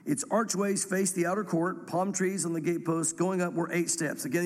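A man reads scripture aloud and teaches verse by verse, congested from a cold, his voice sitting fairly high. He wanders into rambling, self-deprecating asides between passages. The sound carries a long reverb, like a large church hall. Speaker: pastor teaching a Bible study.

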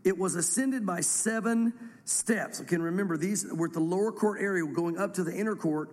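A man reads scripture aloud and teaches verse by verse, congested from a cold, his voice sitting fairly high. He wanders into rambling, self-deprecating asides between passages. The sound carries a long reverb, like a large church hall. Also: fairly quick, darkish tone. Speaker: pastor teaching a Bible study.

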